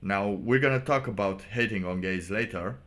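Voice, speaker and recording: A man hosting a casual solo livestream, giving sardonic commentary in a halting way and repeating phrases for emphasis. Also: lowish voice, fairly bright tone. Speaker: livestream host.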